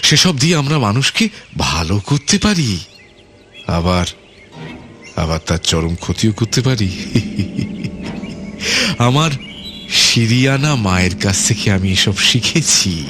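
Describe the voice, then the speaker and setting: A menacing lord of a remote island in a radio play. His voice is low and very slow, with a silky courtesy and lingering repeated phrases. Music plays softly beneath him. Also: sing-song. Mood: quietly menacing. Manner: unhurried, repeats phrases, sinister chuckles, false courtesy. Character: menacing, softly polite island overlord, a settler's son. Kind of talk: radio drama acting. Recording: music under the voice.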